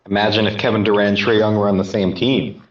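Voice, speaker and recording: Low-pitched man, roomy and muffled. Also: level tone, fast talker, distant mic. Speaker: podcast panellist. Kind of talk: casual sports chat. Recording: loud, roomy, muffled, off-mic.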